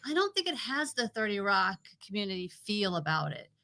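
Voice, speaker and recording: A woman's voice: mid-range voice, very animated, a little fast, creaky, bright, forceful tone. A woman co-hosting a TV review show, chatting casually and unscripted in an opinionated, emphatic way. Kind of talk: casual unscripted chat, presenting a ranked list.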